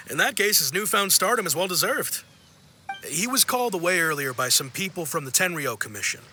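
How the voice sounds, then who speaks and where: An actor playing an easygoing, friendly young-sounding sailor in a video game, chatty and casual. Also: male voice, sits high, lively intonation, normal speed, pauses often, bright, forceful tone, a little hiss or hum, heavily acted. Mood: impressed, casual. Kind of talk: video game cutscene acting.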